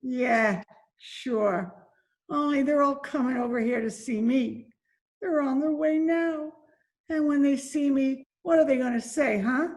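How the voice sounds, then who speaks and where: Slow, high-voiced faded dancer played by a woman. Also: darkish tone. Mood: bitter, anguished. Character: faded, down-on-her-luck Spanish-born former Broadway dancer, despairing mother. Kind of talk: amateur old-time radio drama acting. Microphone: video call recording.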